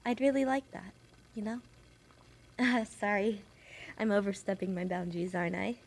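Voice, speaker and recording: A woman's voice: medium pitch, fairly even intonation, normal speed, lightly acted. A woman voicing a shy, kind young witch who works as an assistant nurse, chatty and apologetic, in an audio roleplay for one patient. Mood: shy, flustered. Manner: rambling, apologetic, nervously giggly.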